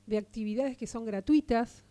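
An Argentine woman giving a radio interview, talking with earnest, steady conviction and often restarting her phrases. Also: on the high side, normal speed. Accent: Argentine Spanish. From Argentina, Partido de la Costa. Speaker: interviewed guest, local political figure.

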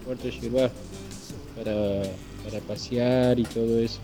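An Argentine man calling in to a radio show, talking haltingly with many fillers. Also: medium pitch, little pitch movement, dark tone, soft background music. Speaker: caller. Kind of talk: casual phone-in chat.

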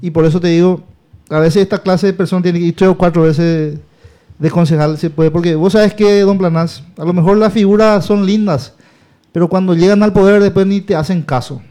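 A man's voice: high-pitched, fairly even intonation, fairly quick, dark tone. A man, a local politician talking about his campaign in a casual, rambling, emphatic way that repeats itself.

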